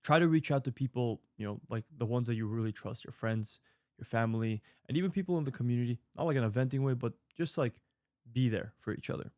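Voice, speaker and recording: A man in his late twenties talks straight to his audience, offering sincere life advice. He rambles, restarts and fills gaps as he goes, his voice sitting at an ordinary middle pitch. The sound is dry and close, with no room echo. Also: quick pace, darkish tone. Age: 20s. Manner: earnest, rambling with fillers and restarts. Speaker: online creator answering viewer mail. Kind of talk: casual advice monologue.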